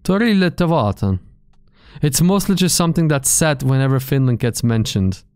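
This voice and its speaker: A man in his thirties streaming from a small gaming room into a boom mic, chatting casually with his viewers, joking and swearing freely. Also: lively intonation, normal speed, darkish tone, smooth, no echo, clean studio sound, faint music behind. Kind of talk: casual chat reading live chat. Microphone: studio mic on boom arm, headphones.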